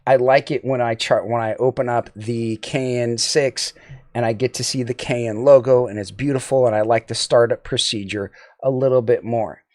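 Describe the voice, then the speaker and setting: A man's voice: mid-range voice, steady tone, moderate pace, a little mellow, no echo, clean studio sound. A man narrating a semi-scripted product review as the host of an audio gear channel.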